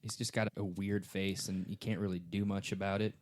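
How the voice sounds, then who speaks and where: A man's voice: deep voice, little pitch movement, a little fast, darkish tone. A man co-hosting a podcast in casual chat, joking around and using plenty of slang. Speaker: podcast co-host.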